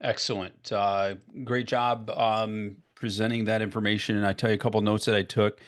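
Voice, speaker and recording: A man hosting a vendor pitch session over a video call, talking casually and haltingly with many fillers. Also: flat delivery. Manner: filler-heavy, halting with many "you know"s. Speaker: webinar host.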